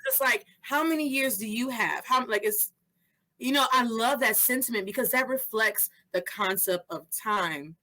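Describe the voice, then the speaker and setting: A woman's voice: on the high side, normal speed, bright, forceful tone. A woman in her thirties hosting a podcast interview, talking animatedly and mixing Spanish phrases into her English. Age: 30s. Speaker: podcast host. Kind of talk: casual interview chat.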